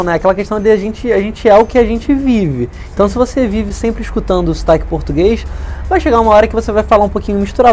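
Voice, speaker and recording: A man in his twenties with a softened Rio de Janeiro accent and hushing s sounds, explaining things in a casual interview. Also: sits high. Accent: softened Rio de Janeiro Brazilian. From Brazil, Rio de Janeiro. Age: 20s. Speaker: interview guest, leader of a community media project.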